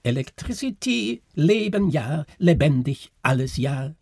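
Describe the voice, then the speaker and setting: Man as Egyptian fairground barker, high-pitched, droning, halting broken phrases, swooping, storybook character. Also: very animated, gravelly, a little mellow, breathy edge, no echo, clean studio sound, exaggerated voice. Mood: droning, eager.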